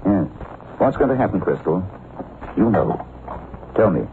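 An actor playing a suave, earnest gentleman adventurer in an old radio drama, measured and probing, on a noisy vintage recording. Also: low-pitched, sing-song, phone-quality sound, full character voice. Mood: urgent, pleading.

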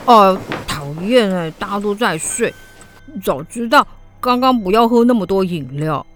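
A woman playing an excitable, easily spooked primary school boy in a children's radio drama. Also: mid-range voice, measured pace, music in the background, lightly acted. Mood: sleepy, grumbling.

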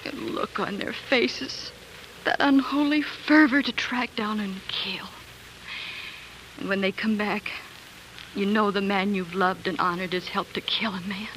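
An actress playing a tender, weary young pioneer wife in earnest, emotional 1940s radio drama style. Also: female voice, mid-range voice, a little slow, a bit bright, a little hiss or hum, close to an everyday voice, poor audio. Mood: despairing. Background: music.

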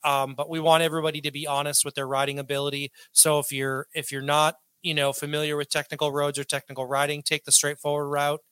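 A man's voice: fairly high pitch, monotone, quick pace, few pauses, bright, forceful tone, clear, resonant tone, no echo. A man hosting a casual podcast, loose and joking with crude humor. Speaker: podcast host.

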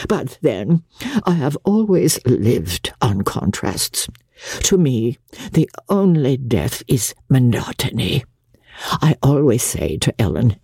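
A woman's voice: deep voice, mellow, husky, no room sound, studio quality, exaggerated voice. Lady doing a gushy theatrical society aunt for an audiobook, sighing and grand, really swoopy, takes her time. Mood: grandly airy. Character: affected, theatrical society aunt, dramatic and gushing. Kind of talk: dramatic audiobook character acting.

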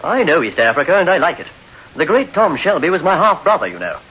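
A high, bright-voiced, excitable gentleman speaks politely and earnestly in radio-play style. The recording is old, muffled and hissy. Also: quick pace, breathy edge, heavily acted. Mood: earnest, defensive. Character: chatty, genteel, easily shocked gentleman. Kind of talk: old-time radio drama acting.

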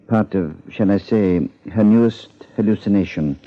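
A man acting a solemn psychiatrist in a 1950s radio drama, speaking in formal, measured, deliberate phrases. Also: on the low side, lightly acted. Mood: solemn, clinical. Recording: muffled 1950s radio recording.

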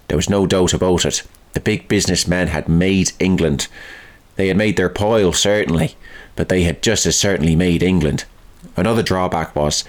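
Male reader, deep register, scripted first-person diary narration.

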